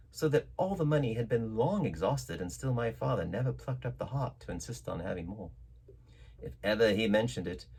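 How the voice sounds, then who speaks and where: A man reading a classic novel aloud as a dramatic storyteller, with theatrical, expressive emphasis. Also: medium pitch, slightly rough.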